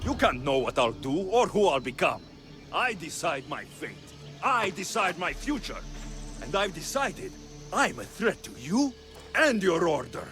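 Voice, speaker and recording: An actor playing a hot-tempered, blunt young Greek warrior, forceful and challenging, in a video game drama. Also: male voice, fairly high pitch, big pitch swings, unhurried, soft background music. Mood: defiant.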